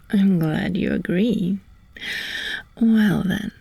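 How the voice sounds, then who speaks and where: A woman voicing a friendly, calm sorceress in a whispered ASMR hypnosis roleplay, gentle and reassuring, breathy and soothing, close to the microphone. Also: medium pitch, heavily acted. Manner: slow, soothing, deliberate with long pauses. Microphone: close, dry microphone.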